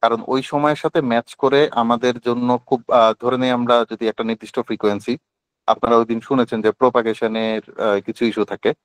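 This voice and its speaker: A man's voice: mid-range voice, little pitch movement, brisk, clear, resonant tone, no echo. A man with a Bangladeshi accent teaching informally on an online call, explaining step by step with examples. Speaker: instructor.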